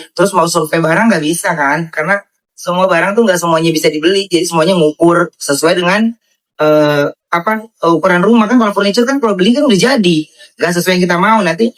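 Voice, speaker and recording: Woman interviewee, chatty and rambling, relaxed everyday delivery. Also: lowish voice, poor audio. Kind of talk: casual interview chat.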